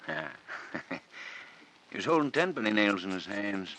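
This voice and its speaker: An actor playing a genteel, scheming man who sounds smooth but edgy, in 1940s radio drama style. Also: fairly low pitch, big pitch swings, unhurried, pushed and bright, full character voice. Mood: cool, satisfied. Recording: faintly noisy vintage radio recording.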